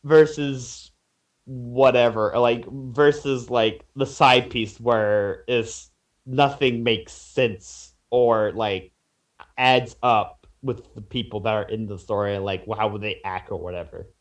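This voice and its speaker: A man's voice: medium pitch, fairly even intonation, slow. A man chatting casually as a podcast panelist, stammering, repeating himself and trailing off. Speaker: podcast panelist reviewing fan fiction. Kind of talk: casual chat.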